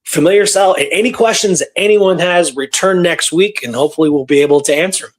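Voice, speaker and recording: Higher-voiced man telling a story casually. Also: quick pace. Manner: emphatic, repeats phrases for effect. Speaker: radio show host.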